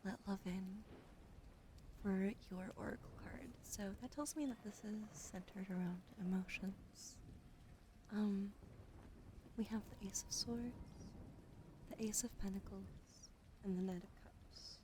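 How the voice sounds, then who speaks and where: A woman streamer giving a gentle tarot reading, often dropping into a whisper while she greets chat. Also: medium pitch, a little slow, pauses often, a little hiss or hum, rough recording.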